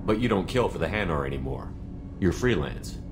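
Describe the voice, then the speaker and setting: A principled military commander in a video game. His voice is low and calm, with a direct, questioning manner, over noticeable background ambience. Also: brisk, background noise. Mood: probing. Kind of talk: video game character dialogue.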